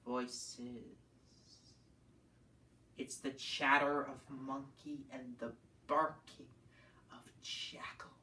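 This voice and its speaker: A man playing an embittered, scornful painter in a deliberate, intense theatrical monologue. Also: medium pitch, flat delivery, a little hiss or hum. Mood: hushed disgust. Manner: deliberate, swelling from hushed to snarling. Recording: echoey room, distant mic.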